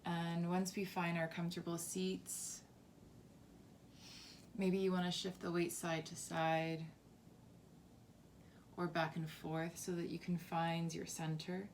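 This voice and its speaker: A woman leading a guided body scan meditation as a teacher, softly and calmly, with unhurried phrasing and long pauses. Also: on the low side, slight background noise. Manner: soft, unhurried, calming instruction with long pauses.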